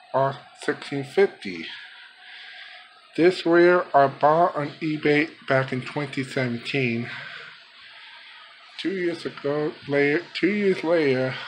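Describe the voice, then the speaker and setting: A man with a fairly high voice presents his collection in an unscripted home video. He speaks slowly, in halting fragments, and there is some background noise on the handheld recording. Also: rough recording. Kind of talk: unscripted product showcase vlog. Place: small room by a window. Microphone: handheld camera, speaker off screen. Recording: background noise, homemade handheld camera audio.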